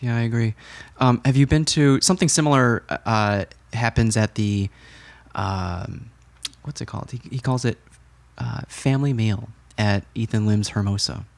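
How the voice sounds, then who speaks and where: A man with a mid-range voice hosts a relaxed interview, prompting his guest with frequent short acknowledgments. His voice sounds close and dry, with no room echo. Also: mid-range voice, moderate pace, vocal fry. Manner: attentive listener, frequent backchannel. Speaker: podcast host. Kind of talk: casual interview.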